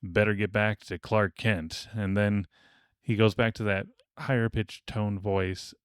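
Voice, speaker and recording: A man co-hosting a casual podcast discussion, explaining things in a measured way. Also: lowish voice, fairly even intonation, smooth, no echo, clean studio sound. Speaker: podcast co-host. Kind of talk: casual panel discussion of old radio serials.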